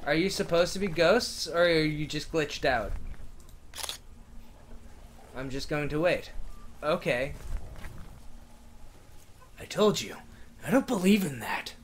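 A man playing a horror game and commenting on it, loud, excitable and sarcastic, swearing freely and reading the game's lines aloud. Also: on the high side, slow pace, pauses often, slight background noise. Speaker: game streamer. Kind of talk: let's play commentary.

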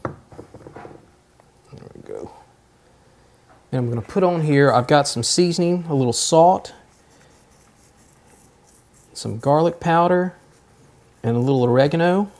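A man in his forties hosting a home cooking show, explaining each step in a relaxed, easygoing way from his kitchen. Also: a little high, a little slow, long pauses, no room sound. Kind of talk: casual cooking demonstration.

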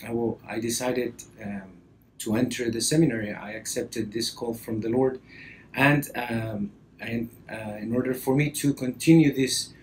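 A man's voice: medium pitch, fairly even intonation, rough recording. Male priest, 30s, Costa Rican accent, mid-range voice, hesitant talk to camera, faint background noise. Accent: Costa Rican Spanish. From Costa Rica. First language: Spanish. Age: early 30s. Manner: hesitant, halting delivery with frequent pauses. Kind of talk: personal introduction to camera. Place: indoor office or library, seated at a desk.

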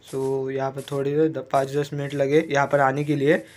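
A man, hoarse from a cold, chatting casually as he narrates his own game play and mixes English words into Hindi. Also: mid-range voice, monotone, normal speed, darkish tone. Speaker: gaming YouTuber.

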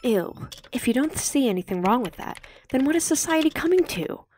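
An indignant high school girl read by a woman narrator, prim and quick to judge. It is an audiobook character, recorded with a faint noise underneath. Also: very animated, a little mellow. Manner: indignant, judgmental. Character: disapproving, prim teenage schoolgirl typing online. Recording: slightly noisy home recording.

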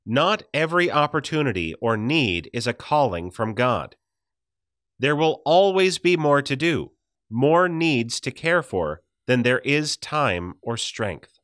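A man reading a scripted devotional audiobook in a measured, deliberate way. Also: mid-range voice, sing-song, a bit bright, dry recording, clean studio sound. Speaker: audiobook narrator.

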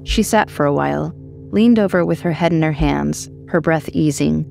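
A woman's voice: low-pitched, normal speed, vocal fry, a little mellow, soft background music. A woman reading a horror short story as scripted narration.

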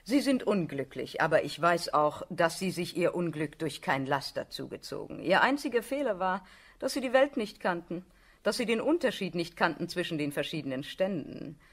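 An actress playing a mature aristocratic countess in a period radio drama, speaking in a measured, formal, condescending way. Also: female voice, fairly low pitch, animated, slightly rough. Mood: admonishing.

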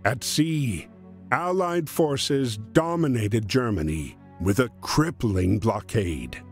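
A solemn storyteller of war speaks slowly and with measure at a medium pitch. His scripted game narration carries gravity, set over a music bed. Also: expressive. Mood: solemn, measured. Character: solemn male storyteller of a wartime tale. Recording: music behind the voice.

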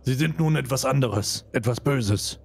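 A man voicing a solemn, resolute adventurer in a tabletop game, speaking gravely. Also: medium pitch, moderate pace. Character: solemn, resolute male adventurer consoling a companion.

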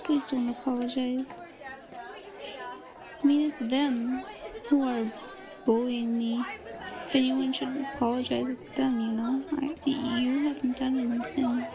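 Woman acting a high-pitched, timid, nervous schoolgirl yandere. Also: flat delivery, a little slow, long pauses, a crowd in the background, rough recording. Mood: nervous, resigned. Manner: nervous, stammering, breathy hesitant delivery. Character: timid, bullied young female student, shy obsessive yandere. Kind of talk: roleplay audio drama acting.

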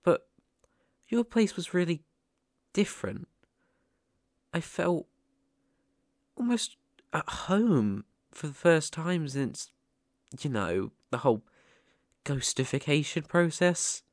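Man acting shy, animated British ghost boy. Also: on the high side, slow pace, long pauses, dry recording, clean studio sound, heavily acted. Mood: shy, surprised. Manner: stammering, apologetic, gentle. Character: shy, lonely, eager young male ghost. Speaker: ASMR roleplay performer. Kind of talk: ASMR roleplay acting.

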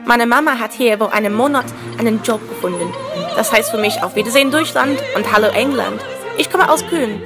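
A woman's voice: on the high side, animated, brisk, over music. Wry homesick girl narrating , a woman acting. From Germany. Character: glum homesick German girl, sardonic. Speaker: lead character and narrator. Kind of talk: short film voice-over narration.

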